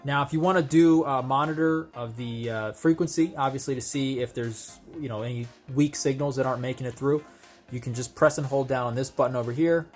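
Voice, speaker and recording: Man, mid-pitched voice, casual product reviewer, conversational, step-by-step explaining, music in the background. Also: brisk, darkish tone. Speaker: product reviewer and host of an unboxing video. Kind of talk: casual hands-on product demonstration.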